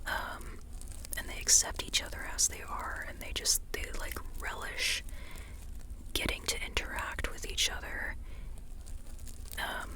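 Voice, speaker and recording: An ASMR host speaking entirely in a breathy, hushed whisper, rambling informally about a book with frequent pauses.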